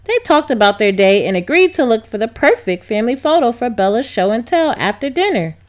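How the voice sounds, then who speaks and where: High, animated woman reading a storybook. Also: brisk. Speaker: storybook reader. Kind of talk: scripted children's story narration.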